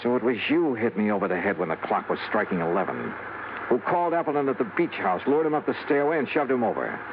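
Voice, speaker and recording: A man's voice: medium pitch, lively intonation, fast, background noise, lightly acted. Man playing a hard-boiled radio detective, muffled old broadcast. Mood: wry, confident. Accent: American. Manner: wry, clipped tough-guy delivery. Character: shrewd, hard-boiled male freelance insurance investigator. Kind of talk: 1950s radio noir acting and first-person narration.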